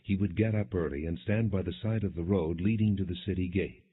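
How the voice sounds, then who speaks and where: A man with a very low voice reads scripture in a measured, formal way, as an audiobook narrator would. His voice is rather husky and rough-edged, and the recording is narrow and muffled, much like a phone line. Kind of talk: scripted scripture narration, non-dramatized reading.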